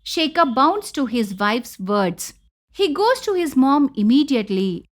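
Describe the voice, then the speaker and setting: A woman storyteller with an Indian accent reads scripted narration. Her voice sits on the high side and moves up and down in an animated way. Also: unhurried.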